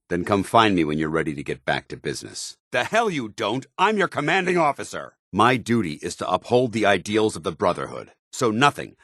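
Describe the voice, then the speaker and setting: A stern paladin commander from a video game, with a very low, husky voice. He speaks in formal, clipped military phrases and carries himself as a duty-bound officer. His pitch moves with animated force. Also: dry recording, clean studio sound, full character voice. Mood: stern concern, then angry, defiant. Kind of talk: video game companion dialogue.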